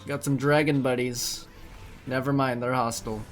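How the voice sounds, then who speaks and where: A man's voice: medium pitch, little pitch movement, normal speed. A young man giving casual, reactive playthrough commentary as a gaming streamer, full of short exclamations. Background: game music.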